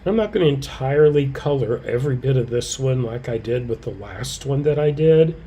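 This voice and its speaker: A man teaching a live quilting and fabric-painting demonstration, slightly hoarse, chatting with viewers by name and muttering softly to himself as he works. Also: medium pitch, a little fast. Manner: relaxed, talks softly to himself while he works; answers viewers' comments by name. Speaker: quilting educator.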